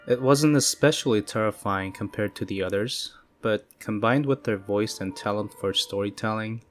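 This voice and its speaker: A man giving calm, measured, scripted narration of creepy first-person stories. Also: fairly low pitch. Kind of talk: scripted horror story narration. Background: faint eerie music.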